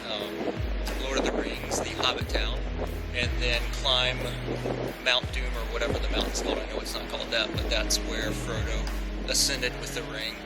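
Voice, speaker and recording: A man's voice: mid-range voice, little pitch movement, normal speed, rough recording. A man answering casually and thinking aloud in an interview recorded outdoors on a street. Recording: street recording with loud music, traffic.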